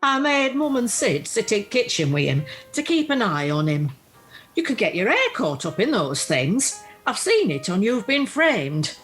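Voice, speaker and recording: A woman's voice: medium pitch, big pitch swings, a little slow, fairly bright tone. A woman playing a chatty, plucky older widow in an amateur radio play, with a Northern English accent and an earthy, self-deprecating manner. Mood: chatty, wistful. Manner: earthy, self-deprecating, uses homely dialect. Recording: faint music, home video-call recording.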